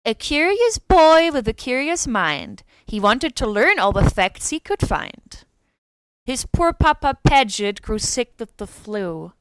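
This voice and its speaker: A woman narrates a dark storybook in verse with theatrical suspense. Her voice is high and smooth, swinging expressively, and the recording is close and dry. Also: sing-song, slow pace, no room sound, rough recording. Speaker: voice actress.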